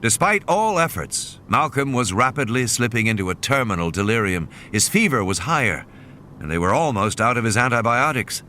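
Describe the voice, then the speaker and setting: A man's voice: medium pitch, lively intonation, normal speed, sharp tone, a little hiss or hum. A man reading a novel as dramatic scripted narration, with background sound behind him. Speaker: audiobook narrator. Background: ambient sound effects.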